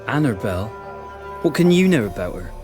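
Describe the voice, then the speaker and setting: An actor playing an anguished, guilt-ridden young village fisherman in a video game, halting and emotional, speaking in rustic dialect. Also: mid-range voice, sing-song, over music, close to an everyday voice. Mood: guarded, grieving. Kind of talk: video game dialogue acting.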